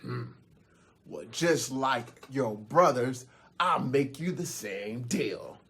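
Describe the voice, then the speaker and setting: A man's voice: medium pitch, animated, slow pace, a bit bright, breathy edge, pitched below the performer's own voice, heavily acted. A man voicing a boastful, taunting troll who throws parties, in a theatrical storytelling style. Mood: menacing. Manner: taunting, challenging. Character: swaggering party-throwing troll DJ, boastful and menacing.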